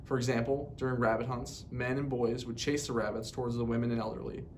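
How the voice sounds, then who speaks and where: A man's voice: level tone, quick pace, darkish tone. A man reading a scripted educational narration in a formal way, recorded on a poor microphone. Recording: poor mic, clear rumbling background noise.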